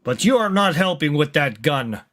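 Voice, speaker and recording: A man's voice: fairly high pitch, big pitch swings, normal speed, a bit bright. A man playing a tabletop game, narrating his character in third person and speaking some lines in role. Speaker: tabletop roleplay player.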